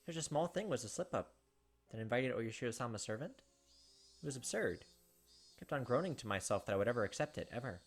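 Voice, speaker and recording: A man's voice: medium pitch, animated, fast, soft background music. A man hosting a let's play, chatting casually and reading the game's story text aloud.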